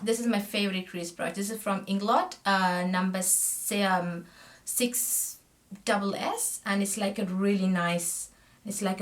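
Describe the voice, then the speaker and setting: A woman in her thirties from Sri Lanka, chatting at a close camera while doing her makeup, friendly and talkative, often trailing off mid-sentence. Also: medium pitch. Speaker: makeup vlogger. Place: small indoor room, plain backdrop. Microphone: camera facing her at close range.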